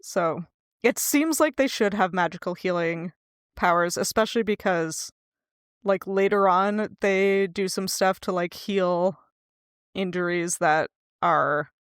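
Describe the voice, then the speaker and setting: A woman's voice: fairly low pitch, slow, clear, resonant tone, no room sound. Casual woman co-hosting, close dry sound. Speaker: podcast co-host. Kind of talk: casual book discussion.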